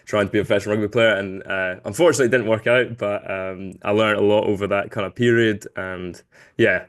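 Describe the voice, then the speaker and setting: A man's voice: on the low side, fairly even intonation, fast. A man in his late twenties from Glasgow with a light Glaswegian accent, talking casually as a guest in an interview in a chatty, self-deprecating way. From Glasgow, Scotland. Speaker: podcast guest, business founder.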